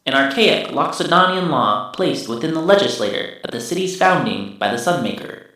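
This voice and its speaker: A man's voice: fairly high pitch, pushed and bright. A man voicing a machine memory system that formally recites past events in a tabletop space game. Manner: formal, measured recap narration. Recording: distant, heavily echoing processed voice.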